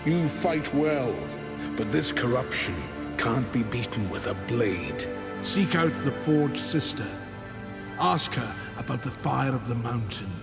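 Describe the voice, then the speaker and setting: An actor playing an ancient, weary maker of worlds in a fantasy game, speaking gravely and cryptically as an old man. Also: mid-range voice, animated, slow. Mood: solemn counsel. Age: older. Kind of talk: dramatic video game acting. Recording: long reverb, music underneath.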